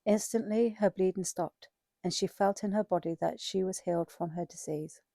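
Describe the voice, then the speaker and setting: Female scripture narrator, lowish and very mellow, scripted storytelling, dry recording. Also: moderate pace, creaky, no room sound. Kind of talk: scripted dramatized Bible narration.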